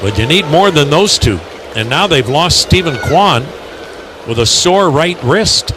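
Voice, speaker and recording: A man calling live baseball play-by-play on the radio in measured, clipped phrases. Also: on the high side, lively intonation. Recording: loud ballpark noise behind.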